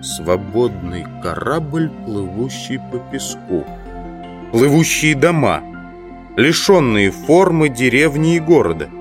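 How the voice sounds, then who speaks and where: Audio drama casting: male actor as pensive, speculative entomologist, reflective delivery, mid-pitched, music bed. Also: big pitch swings, slow pace. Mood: musing. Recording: music behind the voice.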